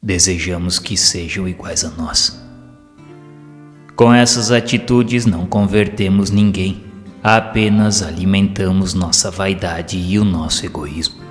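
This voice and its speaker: Low-voiced Brazilian man narrating, long reverb. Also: fairly even intonation, measured pace. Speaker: audiobook narrator. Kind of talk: scripted narration of spiritual anecdotes. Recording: long reverb with music behind.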